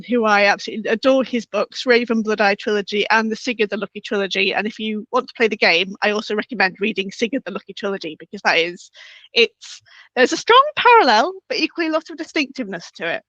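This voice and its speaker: A woman's voice: medium pitch, pushed and bright, dry recording. A woman hosting an interview conversationally, enthusiastic and wordy, recorded on a dry, dull microphone. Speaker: interview host.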